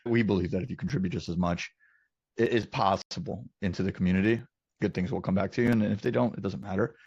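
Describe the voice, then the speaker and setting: A man's voice: low voice, steady tone, fast talker, a little mellow, husky, no room sound, lo-fi sound. A man hosting a casual business interview, talking in an encouraging, affirming way. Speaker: interview host, company founder.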